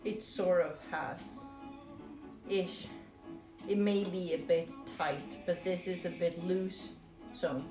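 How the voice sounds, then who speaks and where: A woman's voice: fairly low pitch, fairly even intonation, slow, long pauses. A woman from Norway, chatting casually to live stream viewers in a hesitant, rambling, playful way. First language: Norwegian. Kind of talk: casual chat with stream viewers while crocheting. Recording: roomy echo with music behind. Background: music.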